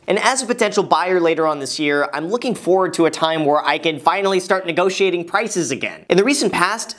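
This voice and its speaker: A man's voice: on the high side, fairly bright tone. A man in his twenties explaining things to camera as a video host, emphatically stressing his key words. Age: 20s. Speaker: real estate video host. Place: small furnished indoor room. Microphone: lapel mic.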